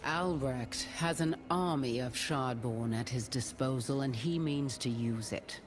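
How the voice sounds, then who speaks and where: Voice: medium pitch, measured pace, close to an everyday voice. An actor voicing a determined, resolute hero in a video game. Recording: loud game noise behind voice. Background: loud game ambience.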